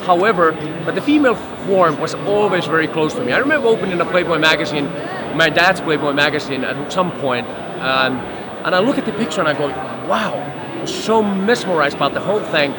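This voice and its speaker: A man's voice: a little high, animated, a little fast. A middle-aged man from Finland, a photographer telling stories in an interview on a busy trade show floor. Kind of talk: casual interview storytelling. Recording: loud crowd noise behind.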